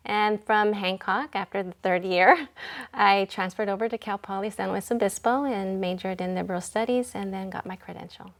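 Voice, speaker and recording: Reflective woman principal, full of pauses. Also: mid-range voice. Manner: reflective, unhurried, with many ums and pauses. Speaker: school principal. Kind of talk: casual interview talk.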